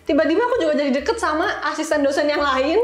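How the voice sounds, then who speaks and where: A woman's voice: high voice, fast. A young woman telling stories casually as an interview guest, chatty and slangy with English words mixed in. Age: young adult. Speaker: interview guest, iOS developer. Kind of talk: casual conversational storytelling. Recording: roomy, echoey sound.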